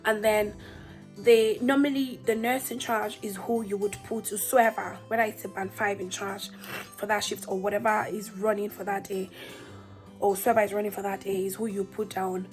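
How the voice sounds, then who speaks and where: A woman's voice: medium pitch, flat delivery, normal speed, soft background music. A woman with a Nigerian accent talking candidly to her viewers in a vlog, emphatic and direct, often putting rhetorical questions to them. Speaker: senior nurse and vlogger.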